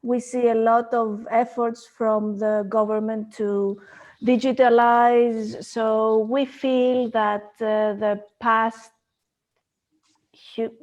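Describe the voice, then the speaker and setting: A woman's voice: on the high side, level tone, slow pace, a little mellow, dull audio. A woman from Greece, a guest on a video call talk show, speaking thoughtfully and searching for words.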